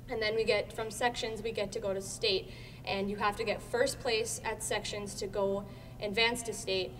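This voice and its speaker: A teenage young woman explains things earnestly, in the informal way of a student interview. Her mid-pitched voice comes from a distance in a reverberant space, with a faint rumbling noise underneath. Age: teenager, high school student. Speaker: student actor being interviewed. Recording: echoey hall, distant mic, rumbling noise.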